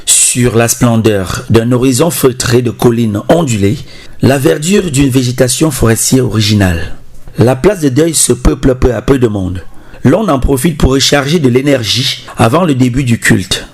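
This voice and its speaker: A man giving a measured, formal scripted narration as a voice-over. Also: medium pitch, animated, a little mellow, slightly rough.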